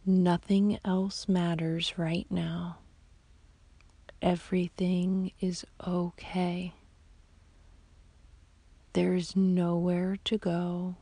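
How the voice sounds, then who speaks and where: A woman guiding a sleep meditation as a yoga nidra teacher, in a soft, whispery, soothing voice with long, unhurried pauses. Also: fairly low pitch, breathy edge, dry recording. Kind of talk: slow guided sleep meditation.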